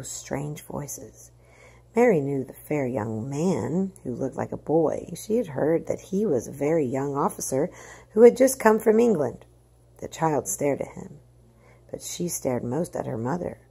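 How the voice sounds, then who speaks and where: A woman narrates a story aloud in a low register. Her voice is mellow with plenty of fry, and she leans into the words with dramatic emphasis. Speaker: storybook reader.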